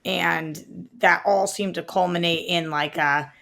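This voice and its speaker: A woman co-hosting a podcast, chatting informally about movies and pausing as she searches for the right word. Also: on the low side, fairly even intonation, gravelly, a bit bright. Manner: informal, halting, searches for words. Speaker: podcast co-host reviewing movie trailers. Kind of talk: casual movie chat.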